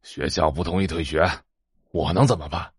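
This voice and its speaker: Domineering middle-aged tycoon father, very low voice, curt and pompous, close dry audio-drama sound. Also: moderate pace, breathy edge, no echo. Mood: exasperated. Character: stern, domineering middle-aged wealthy patriarch. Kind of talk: Chinese audio drama acting.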